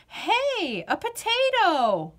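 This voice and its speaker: A woman voicing an energetic, indignant and imaginative little girl from a storybook, with emphatic, childlike delivery. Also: very high-pitched, very slow, voice pushed up, heavily acted. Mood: curious, eager.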